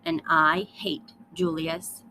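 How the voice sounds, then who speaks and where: A woman's voice: on the low side, very animated, slow, vocal fry, sharp tone, slight background noise, exaggerated voice, low-quality recording. A woman voicing a jealous, bossy young girl in a storybook, with emphatic, dramatic declarations. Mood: imperious.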